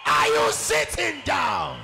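Man, gravelly, slow, wildly swinging pitch, shouting praise, crowd noise. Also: wildly swooping pitch, reverberant, soft background music. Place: large church hall.

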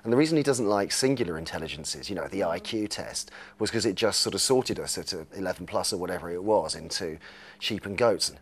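A man's voice: low-pitched, expressive, fairly quick, slightly rough. A man, explaining ideas as a panellist in a discussion.

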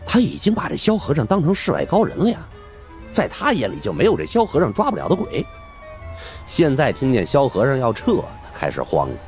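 A man's voice: mid-range voice, huge pitch swings, fairly quick, faint music behind. A man narrating an audiobook as a colloquial, conversational storyteller.

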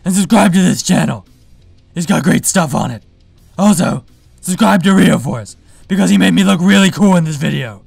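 A man playing a brooding, boastful masked superhero in a parody vlog, with a raspy growl and a deadpan, self-important delivery. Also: high voice, moderate pace, no echo, soft background music, exaggerated voice. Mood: smug. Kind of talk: parody vlog monologue to camera.

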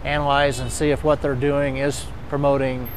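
A man's voice: mid-range voice, flat delivery, normal speed, a little hiss or hum. An older man in a street interview outdoors on a city street, plain-spoken and thoughtful.

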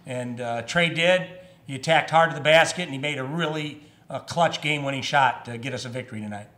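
A man in his fifties, a basketball head coach, answering reporters at a postgame press conference, measured and full of "uh" and restarts. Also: mid-range voice, pushed and bright. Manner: measured, with frequent fillers and restarts. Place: press room in front of a sponsor backdrop. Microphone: table microphone.

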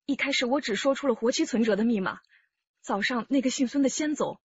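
一位女演员在广播剧中扮演精明的年轻上海妻子，也是劫案受害者，声音带气声。. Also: female voice, on the high side, fast, husky, dry recording, muffled recording. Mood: shaken. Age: young adult. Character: shrewd young Shanghai wife, robbery victim. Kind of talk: radio drama acting.

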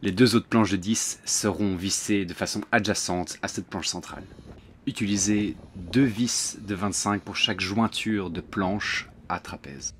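A man narrating a do-it-yourself building tutorial in a relaxed, friendly voice-over, slipping slang into his step-by-step instructions. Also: low voice, unhurried, slight background noise. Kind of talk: casual DIY tutorial voice-over. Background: faint music.

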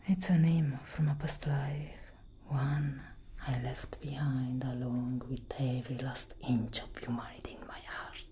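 A young woman playing a brooding, protective elder vampire sister in a soft, breathy, whispered ASMR roleplay. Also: a bass voice, slight background noise. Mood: solemn, guarded. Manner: breathy, slow, intimate, dramatic.

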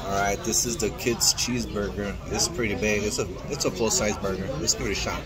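A man's voice: on the low side, fairly even intonation, brisk, over a noisy crowd. Upbeat man vlogging in a diner. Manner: upbeat, chatty, narrating as he films. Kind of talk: casual food vlog walkthrough. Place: small diner indoors. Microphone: handheld camera at arm's length. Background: music playing.

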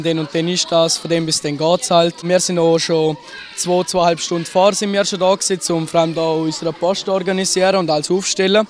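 A man's voice: on the high side, slight background noise. A seventeen-year-old young man with a Liechtenstein German accent, a scout group leader giving a hesitant, loosely phrased TV interview into a handheld mic in an indoor hall. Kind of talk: TV news interview. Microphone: handheld broadcaster mic.

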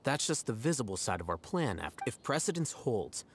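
A man playing an aloof, arrogant young scholar in a video game, composed and deadpan, with precise, formal diction. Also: mid-range voice, brisk, slight background noise. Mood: calm, matter-of-fact. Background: game music.

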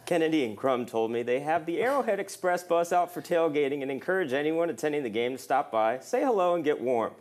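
A man reporting a local TV news story, narrating in an upbeat, lively way. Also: on the high side, fairly quick, few pauses. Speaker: field reporter.

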